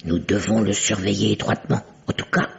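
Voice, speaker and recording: A woman's voice: extremely low pitch, steady tone, fairly quick, creaky. An actress playing a prim, suspicious, secretive spinster landlady with hesitant formal politeness in an old French radio mystery. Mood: suspicious, conspiratorial. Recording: dull, band-limited vintage radio recording.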